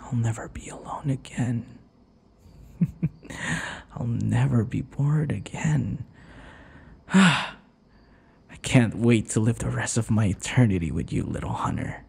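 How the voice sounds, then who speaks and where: A man playing a possessive, taunting vampire captor in an intimate ASMR roleplay, his breathy voice often dropping into whispers. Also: medium pitch, a little mellow, heavily acted. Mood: obsessive, tender. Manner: taunting, slow, drawn-out endearments. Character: possessive, obsessive male vampire captor and would-be lover. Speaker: audio roleplay voice actor.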